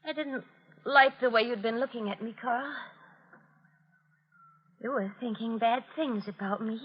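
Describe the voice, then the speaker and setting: A volatile young madwoman in a vintage radio thriller. Her voice is very high and her delivery slow and childlike. The old broadcast sounds dull and boxed in. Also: breathy edge. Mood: brooding, accusing. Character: beautiful, insane young murderess, childlike and volatile. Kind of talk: 1940s radio thriller acting.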